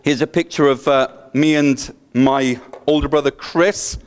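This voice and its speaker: A man's voice: mid-range voice, fairly even intonation, a little slow, clear, resonant tone. A middle-aged man from Wales with a Welsh accent, preaching a sermon in a joking, self-deprecating way, with a slight stutter and frequent restarts. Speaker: pastor.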